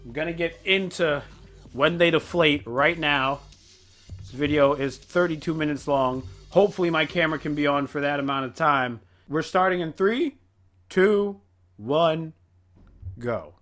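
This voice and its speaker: A man giving casual reaction commentary in an animated, rambling, exclaiming way. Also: fairly high pitch, measured pace, soft background music. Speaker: reaction video host.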